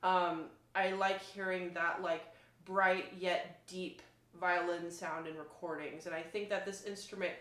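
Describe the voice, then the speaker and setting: A woman chatting casually as she demonstrates an instrument, enthusiastic and informal, with a halting, searching delivery. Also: lowish voice, steady tone, fairly bright tone. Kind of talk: casual product demo chat. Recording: roomy, echoing sound.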